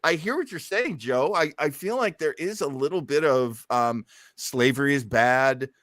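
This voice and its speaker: A man's voice: mid-range voice, moderate pace, fairly bright tone, dry recording, studio quality. A man hosting a casual live group commentary, jokey and self-deprecating. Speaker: host of a listening party.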